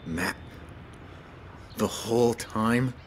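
An actor playing a betrayed, confrontational soldier in a war game, emotional and accusing. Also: male voice, on the low side, extremely slow, a little hiss or hum. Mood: hurt, angry. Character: bitter, betrayed male soldier, tense and confrontational. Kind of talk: dramatic game cutscene acting.

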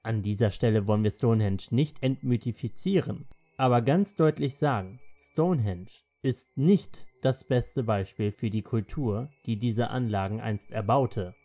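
A man's voice: medium pitch, a little slow, dark tone, faint music behind. A man narrating a scripted documentary as its narrator.

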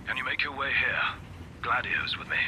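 An actor playing a calm, pragmatic royal adviser in a video game, measured and formal. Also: male voice, medium pitch, noisy background, lightly acted. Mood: calm, grave. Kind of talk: English video game voice acting.

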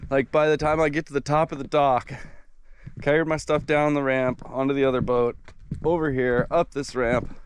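A man in his thirties, slightly hoarse from a cold, chatting in a relaxed, upbeat way with lots of fillers while filming himself outdoors on a dock by a bay. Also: mid-range voice, moderate pace, a little hiss or hum. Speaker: sawmill owner vlogging his workday. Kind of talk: casual outdoor vlog. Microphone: action camera held at arm's length.

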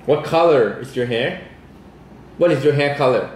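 A man's voice: mid-range voice, darkish tone, a little hiss or hum. Man, patient, echoey room. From Thailand. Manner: patient, quick agreeing fillers. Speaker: father tutoring his son. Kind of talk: casual home chat and English practice. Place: indoors at a home dining table. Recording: echoey home room with music.

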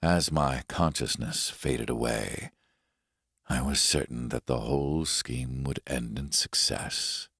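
An actor playing a cold, vengeful villain who narrates his schemes in a measured, menacing, self-assured way in a video game. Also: fairly bright tone, no echo, studio quality, exaggerated voice. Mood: cold, calculating. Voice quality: very deep, gravelly.

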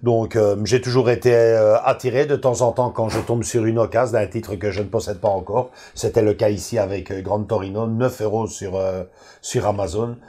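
Middle-aged host, mellow lowish voice, hesitant fillers, living room on a far camera. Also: male voice, steady tone, normal speed. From Belgium. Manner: hesitant, many fillers and restarts. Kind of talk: casual chat about film collecting.

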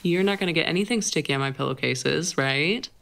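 A woman playing a playful, flirty, tender best friend with a crush in an intimate, halting ASMR roleplay. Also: low voice, creaky, fairly bright tone, slight background noise. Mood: excited, playful. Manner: teasing, halting, giggly delivery. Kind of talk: intimate ASMR roleplay, acted one-sided conversation.

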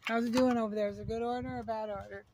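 A woman with a slightly high voice talks from behind a handheld camera outdoors. She guides and lightly teases as she chats casually, with a faint hiss of background noise. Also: fairly even intonation, rapid-fire. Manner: playful, gently teasing, coaching. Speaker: parent filming children at play. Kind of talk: casual chat, directing a pretend game. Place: backyard, outdoors. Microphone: handheld camera, speaker behind it.

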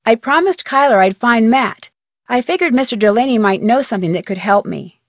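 A young American girl detective in a mystery video game. Her voice is on the high side and recorded dry and close. She talks in a chatty, curious, level-headed way, with clear diction and a wry edge. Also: lively intonation, fairly quick, no echo. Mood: chatty, explaining. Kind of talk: video game voice acting, conversational phone-call dialogue.

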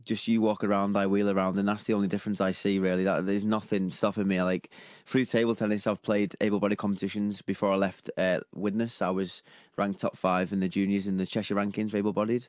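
A man's voice: on the low side, fast talker, hardly any pauses, no room sound. A man in his twenties with a Liverpool accent, a guest talking openly and easily about his life in a radio studio interview. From Liverpool, England. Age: 20s. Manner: open, relaxed, laughs easily. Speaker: guest athlete. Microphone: studio microphone.

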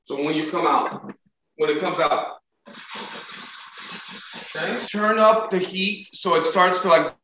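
Upbeat chef coaching in echoey kitchen. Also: male voice, sits high, measured pace, pauses often, sharp tone, lo-fi sound, a little hiss or hum. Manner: encouraging, coaching with frequent "okay?" and "right?" checks. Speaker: chef instructor. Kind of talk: cooking demo instruction. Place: home kitchen over video call.

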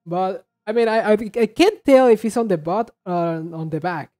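A reaction video host giving casual commentary, halting and repeating words while thinking aloud. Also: medium pitch, dark tone.

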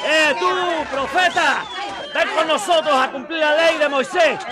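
An actor playing a self-righteous mob agitator, shouting and goading in a radio drama. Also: male voice, extremely high pitch, a little slow. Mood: goading, scornful. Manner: shouting, taunting. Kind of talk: Spanish-language radio drama acting. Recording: crowd noise behind.

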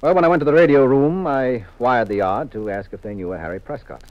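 An actor playing a confident, teasing American husband detective in a 1950s radio mystery, with a wry, assured manner. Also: male voice, mid-range voice, quick pace, close to an everyday voice. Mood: relaxed, reassuring. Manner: wry, assured, leading questions. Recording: muffled vintage radio recording.

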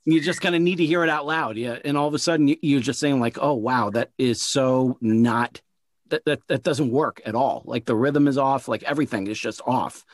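A man hosts a relaxed interview, talking through his thoughts with frequent restarts and repeated words. The recording is dry with no room sound. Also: medium pitch, normal speed, no echo. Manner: rambling, repeats words while thinking. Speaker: interview host and producer. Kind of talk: casual interview conversation.

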